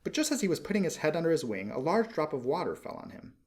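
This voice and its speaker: A man reading a storybook aloud as an expressive audiobook narrator. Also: fairly high pitch, quick pace.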